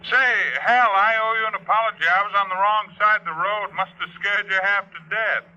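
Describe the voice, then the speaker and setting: A dull vintage radio recording of a folksy fellow on the telephone. His voice is high and very sharp, with casual 1950s drama delivery. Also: fairly even intonation, brisk. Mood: apologetic. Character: apologetic, folksy male acquaintance on the telephone.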